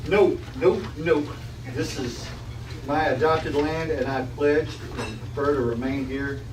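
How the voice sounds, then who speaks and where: A man's voice: on the high side, a little slow, close to an everyday voice. A man reading a stubborn, pleading professor in a space serial table read, emphatic and declamatory. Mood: insistent. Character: stubborn, pleading male professor devoted to adopted home. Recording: echoey room, distant mic, noisy.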